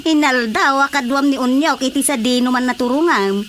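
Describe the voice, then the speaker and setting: A woman's voice: high voice, moderate pace, a bit bright, a little hiss or hum. A woman with an Ilocano accent acting a nosy, teasing village woman in a radio drama comedy.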